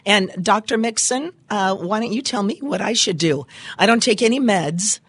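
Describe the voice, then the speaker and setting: An older woman co-hosts a live call-in radio show, her voice froggy and hoarse from seasonal allergies. She talks in a bright, chatty, upbeat way and laughs easily. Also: mid-range voice, normal speed, no echo. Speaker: radio co-host.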